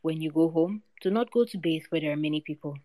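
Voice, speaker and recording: A proud male snake king, wise and fatalistic, speaks his warnings slowly and solemnly, read aloud by a woman. The voice sits low for a woman. It is recorded close and dry. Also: warm tone, no echo, lightly acted, low-quality recording. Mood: grave.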